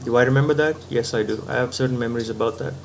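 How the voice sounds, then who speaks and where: A man's voice: mid-range voice, very fast, gravelly, slight background noise. Man reasoning step by step, patient. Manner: patient, step-by-step reasoning, frequent "right?" checks. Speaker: spiritual teacher. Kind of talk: reasoned philosophical talk to camera.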